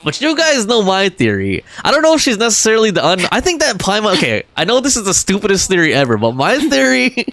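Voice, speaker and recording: A man's voice: high voice, wildly swooping pitch, fast talker, hardly any pauses, a bit bright. A man livestreaming a game, chatting casually with viewers and reading their messages aloud.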